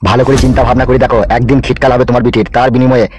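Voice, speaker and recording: Scheming cartoon villain politician male actor: insistent, persuasive, average pitch, very quick. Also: little pitch movement, noisy background, lightly acted. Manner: coaxing, insistent. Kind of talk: comedy cartoon dub.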